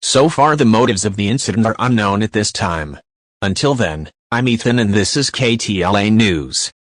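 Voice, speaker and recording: A man's voice: lowish voice, expressive, moderate pace, no echo. A man playing a composed local TV news anchor, reading a scripted report in a formal, matter-of-fact way. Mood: composed, serious.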